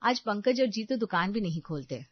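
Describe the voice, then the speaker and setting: A woman's voice: medium pitch, animated, moderate pace, no room sound, lo-fi sound. A woman reading scripted narration as the storyteller of a domestic tale.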